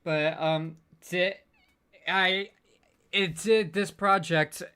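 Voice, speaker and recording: A man speaking casually as a voice actor on a panel, halting and flustered as he searches for words. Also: sits high, fairly even intonation, bright, forceful tone, clean tone.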